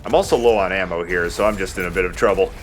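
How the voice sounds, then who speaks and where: A man's voice: sits low, rapid-fire, a little hiss or hum. A man giving casual commentary as he plays a shooter game, as host of a let's play.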